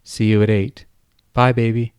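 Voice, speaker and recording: A man voicing a grown, smooth-talking man who coaxes and soothes. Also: mid-range voice, flat delivery, moderate pace, darkish tone, no room sound, clean studio sound, pitched above the performer's own voice, close to an everyday voice. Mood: coaxing. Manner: coaxing, soothing.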